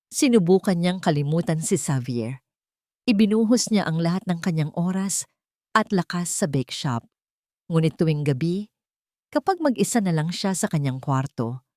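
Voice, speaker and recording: A woman's voice: deep voice, dry recording, clean studio sound. A woman with a Filipino accent narrating a dramatic scripted love story.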